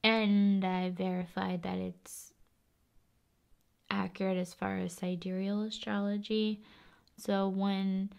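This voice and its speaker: A woman chatting casually in an ASMR style, soft-spoken and often dropping into whispers, with long unhurried pauses. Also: medium pitch, vocal fry, smooth. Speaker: ASMR video host. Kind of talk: ASMR, casual rambling chat.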